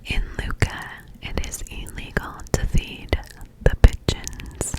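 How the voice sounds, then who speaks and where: A Canadian woman whispering close to the mic in an ASMR video, breathy with soft mouth sounds. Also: slow pace, rough recording. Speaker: ASMR creator.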